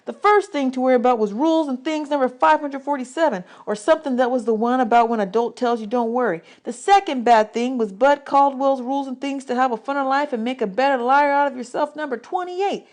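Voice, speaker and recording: A woman's voice: high-pitched, fairly quick, hardly any pauses, pitched above the performer's own voice, lightly acted. Woman reading as a worried little boy from a kids audiobook, folksy, earnest, imaginative. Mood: nervous, animated. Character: nervous, imaginative, polite young orphan boy narrator. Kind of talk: children's audiobook character.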